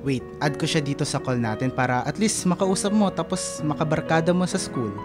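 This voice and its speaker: A playful young guy played by an actor jokes and coaxes his way through a radio drama. He is an expressive, teasing best buddy, with music clearly playing behind him. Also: fairly high pitch, brisk, close to an everyday voice. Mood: eager. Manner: joking, coaxing, expressive.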